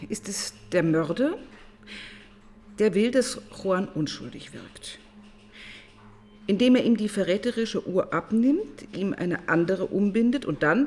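An actress playing a composed, probing police detective who narrates and talks through the case in a measured, matter-of-fact way in a crime radio play. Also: female voice, mid-range voice, a little mellow. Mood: analytical, skeptical. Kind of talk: German crime radio play, dialogue and first-person narration.

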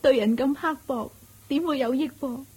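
An actress playing a mistreated, despairing young wife in a radio drama, talking in a weepy, pleading way. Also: female voice, high voice, moderate pace, a little mellow, breathy edge. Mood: distraught. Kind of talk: Cantonese radio drama acting.

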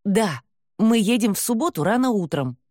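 A woman's voice: mid-range voice, expressive, moderate pace, warm tone, dry recording, studio quality, lightly acted. A woman voicing a nervous but stubborn thirty-year-old daughter, determined and defensive, in an audiobook. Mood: defiant. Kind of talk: audiobook character dialogue.

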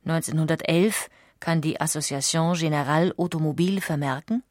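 A woman's voice: deep voice, a little fast, no echo, studio quality. A woman reading scripted narration in the manner of a documentary audiobook.

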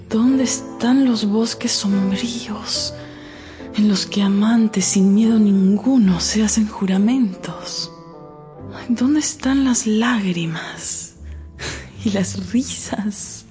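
An actress playing a dreamy, discontented young wife, genteel and theatrical, in a radio drama. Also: female voice, medium pitch, darkish tone, natural voice.

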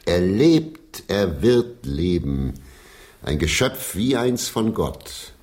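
A hearty old stage player in a period radio drama, sentimental and grandiloquent. His low voice sweeps widely up and down as he declaims dramatically. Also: very animated, slow pace, a little mellow, exaggerated voice. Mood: defiant, exalted.

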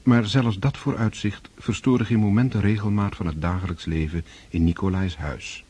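A man's voice: on the low side, fairly even intonation, normal speed, warm tone, husky. A man narrating a literary radio drama from a script.

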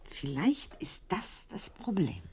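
An actress playing a shrewd, candid wife in a German radio drama, with a husky, creaky voice and a deliberate, knowing manner that drops into whispers. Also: female voice, medium pitch, very animated, a little mellow, heavily acted.

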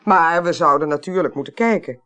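A woman's voice: low voice, fairly quick, a bit bright, lo-fi sound. An actress in a 1980s radio drama playing a courteous, persistent woman investigator who speaks thoughtfully and precisely. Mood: keen, thoughtful.

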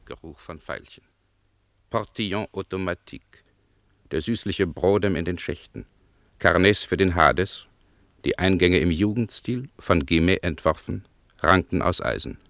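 An actor playing a detached observer in a poetic radio play, speaking in terse, incantatory phrases. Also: male voice, deep voice, unhurried, fairly bright tone, no room sound, studio quality. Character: detached male observer listing poetic city impressions.

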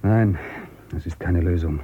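An actor playing a brusque, suspicious outcast in a radio drama, with a commanding, curt way of questioning. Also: male voice, low voice, a little slow, mellow, breathy edge, muffled recording. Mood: wary. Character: brusque, suspicious male outcast hiding in abandoned future city. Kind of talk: German radio drama acting.

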